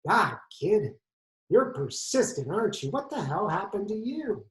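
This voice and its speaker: In an echoey room, a man acts out an old male police detective in a stage monologue. He is brusque and gruff in manner, yet concerned underneath. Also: sits high, sing-song, measured pace, pitched above the performer's own voice. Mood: brusque, surprised.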